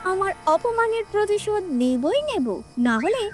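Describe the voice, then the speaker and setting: A woman's voice: extremely high pitch, big pitch swings, moderate pace. A woman voicing a proud, spiteful female crow in a children's cartoon, indignant and complaining. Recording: music under the voice.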